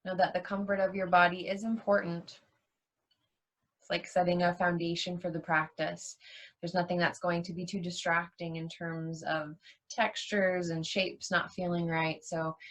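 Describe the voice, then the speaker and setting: A woman leading a guided yoga nidra meditation as a teacher, soothing and unhurried, leaving long pauses between short phrases. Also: lowish voice, a bit bright.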